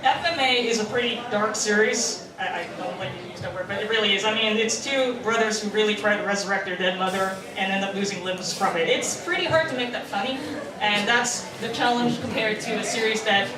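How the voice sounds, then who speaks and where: A woman's voice: medium pitch, a little fast, reverberant, crowd noise. A woman talking conversationally on an informal panel, recorded from a distance in a noisy room. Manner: conversational, acts out quoted remarks. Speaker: convention panelist, fan-dub writer and editor.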